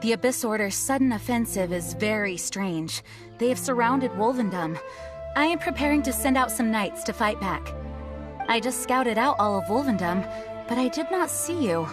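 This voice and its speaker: A woman's voice: on the high side, animated, normal speed, lightly acted. An actress playing a dutiful female knight commander in a video game, formal, composed and authoritative, with music behind her. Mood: composed concern. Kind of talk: video game dramatic acting.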